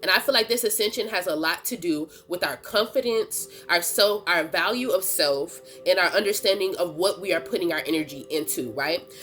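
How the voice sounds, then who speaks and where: A woman's voice: fairly low pitch, normal speed, fairly bright tone, faint music behind. A woman with a stuffy nose from a cold, chatting casually in as she gives a tarot reading, slangy and laughing often. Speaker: tarot reader and channel host.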